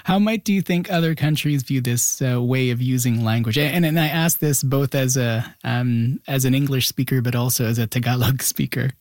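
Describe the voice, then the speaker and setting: A man's voice: medium pitch, normal speed, few pauses, no room sound, studio quality. A male radio host interviewing a guest, enthusiastic and curious, asking questions with fillers.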